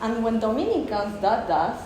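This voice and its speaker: A woman's voice: fairly high pitch, big pitch swings, unhurried, dark tone. A woman whose first language is Spanish, speaking English thoughtfully on an artists' panel and searching for her words. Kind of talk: reflective panel discussion. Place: exhibition space, indoors. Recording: echoey room with faint noise.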